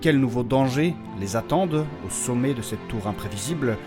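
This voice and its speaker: Guy as a dramatic fantasy narrator, medium pitch, solemn scripted read with music behind. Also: animated, normal speed, lightly acted. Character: dramatic storyteller recapping a fantasy quest.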